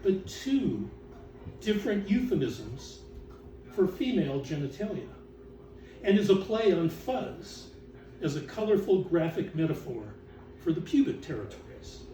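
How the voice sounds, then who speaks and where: A man reciting written text as author and curator, in a theatrical, deliberate way with drawn-out emphasis. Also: high voice, big pitch swings, dark tone. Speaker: author and exhibition curator reading aloud. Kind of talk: dramatic recitation of catalog text to an audience. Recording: roomy, distant mic with background noise.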